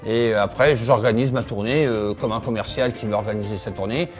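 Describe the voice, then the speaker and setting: A middle-aged man from Normandy, working as a farrier, answering interview questions outdoors at his horse yard in a steady way, often agreeing with "absolutely". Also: fairly low pitch, a little fast, music in the background. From Normandy, France.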